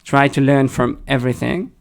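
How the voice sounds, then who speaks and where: Creaky-voiced man with a slightly British-tinged Israeli accent. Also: medium pitch, moderate pace. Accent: Hebrew-accented English with a slight British tinge. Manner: thoughtful, hesitant, restarts often. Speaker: podcast co-host. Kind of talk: casual discussion.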